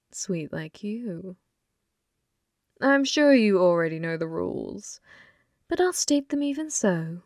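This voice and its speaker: A woman voicing a flirty, possessive female demon bartender in an intimate ASMR roleplay, teasing and unhurried, sometimes slipping into whispers. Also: medium pitch, creaky, a little mellow, no room sound, studio quality.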